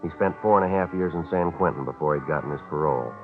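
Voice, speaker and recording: Low, clipped, deadpan police detective. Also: male voice, fast, faint music behind. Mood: matter-of-fact. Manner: clipped, deadpan, matter-of-fact. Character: terse, businesslike, no-nonsense male police detective. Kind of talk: 1940s radio acting with scripted narration. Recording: tinny old radio recording.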